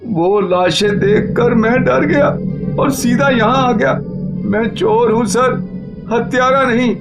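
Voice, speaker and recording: A man's voice: extremely high pitch, takes it slowly, gravelly, over loud music, exaggerated voice. A man voicing a nervous, pleading thief in a cartoon horror story. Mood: frightened pleading. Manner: pleading, frightened. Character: nervous male thief pleading innocence to police.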